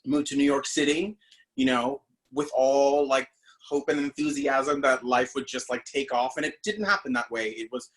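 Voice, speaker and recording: A man from the Bahamas talks through his path as a stage actor in a loose, chatty way. His voice sits at an ordinary middle pitch, and he fills his sentences with fillers and restarts. He is heard over a video call. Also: moderate pace, a bit bright. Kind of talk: casual interview storytelling.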